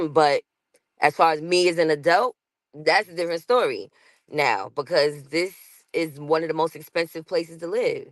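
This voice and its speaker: A woman's voice: deep voice, lively intonation, moderate pace, dry recording. A woman with a New York accent talking casually as a guest on a panel show, laughing often and restarting her sentences. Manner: chatty, laughs often, self-interrupting.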